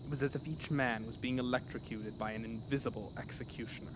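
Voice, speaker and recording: A man's voice: medium pitch, steady tone, quick pace, background noise. A man narrating a scripted horror story in a dramatic, theatrical manner.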